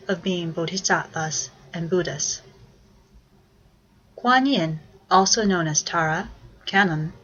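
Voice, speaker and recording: A woman leading a guided meditation with measured, instructive, prayerful narration. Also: fairly low pitch, pushed and bright, dull audio.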